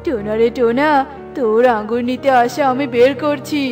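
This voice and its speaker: A woman's voice: a little high, normal speed, darkish tone, faint music behind, natural voice. A woman playing a scheming greedy crow. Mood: menacing. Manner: menacing, cackling. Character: scheming greedy male crow grape seller.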